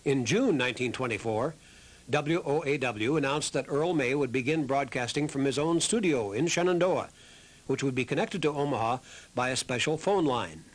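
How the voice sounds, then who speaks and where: A man's voice: mid-range voice, moderate pace. A man reading scripted documentary narration as the narrator of a history program.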